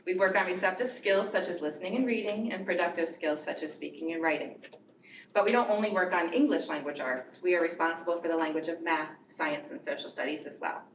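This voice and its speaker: A woman teacher reads a prepared speech to a school board, her voice slightly low. She sounds distant from the mic, with a roomy echo and steady background noise over the recording. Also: fairly quick. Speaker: teacher of English learners. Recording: echoey room from far off, noisy.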